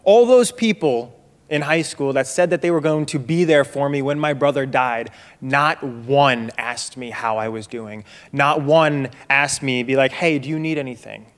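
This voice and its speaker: A young man giving a loud, emphatic testimony to a church congregation, holding a handheld mic low, in a reverberant sanctuary. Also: normal speed. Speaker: young adult group member giving testimony. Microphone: handheld microphone held low.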